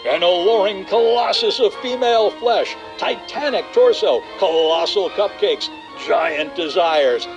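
A man's voice: sits high, measured pace, gravelly, hardly any pauses, lo-fi sound. A man playing a breathless 1950s movie trailer announcer, over-the-top vintage ballyhoo voice-over heard over music. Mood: lurid hype.

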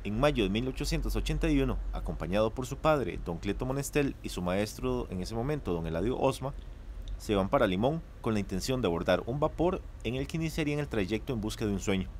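A man's voice: medium pitch, expressive, a little fast, darkish tone, slight background noise, close to an everyday voice. A man with a Costa Rican accent playing a studious young student giving a class presentation in a radio play. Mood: earnest.

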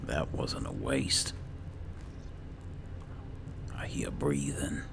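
Very deep, gravelly murmuring man. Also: very slow, in a whisper. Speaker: gaming video host playing a roleplay character. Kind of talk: low-key in-character gameplay commentary.

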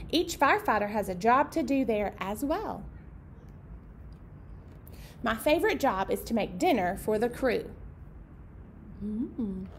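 A woman in her thirties presenting a storytime as a children's librarian, speaking simply to young children and their parents. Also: fairly high pitch. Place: meeting room indoors. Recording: clear background noise.

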